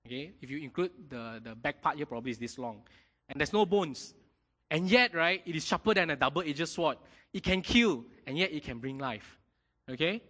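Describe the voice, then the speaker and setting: A man preaching a sermon in a lively way, often checking in with his congregation. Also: a little high, moderate pace. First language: not Mandarin. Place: church hall. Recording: long hall reverb.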